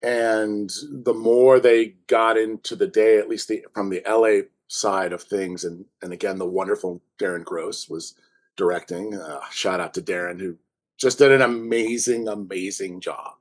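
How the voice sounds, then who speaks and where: A man's voice: lowish voice, steady tone, moderate pace. A man chatting casually and enthusiastically as an interview guest, repeating words for emphasis. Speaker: audio drama writer, podcast guest.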